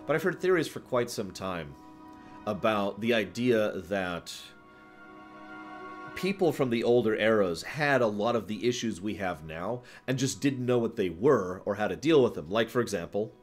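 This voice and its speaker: A man hosting a livestream, answering viewer questions in casual, off-the-cuff commentary with an animated, playful way of talking. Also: lowish voice, normal speed, faint music behind. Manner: animated, chuckles through his points, acts out examples.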